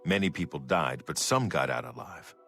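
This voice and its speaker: An actor playing a gruff male refugee in a fantasy video game, grave and measured as he tells his news. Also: a bass voice, lively intonation, sharp tone, faint music behind, exaggerated voice.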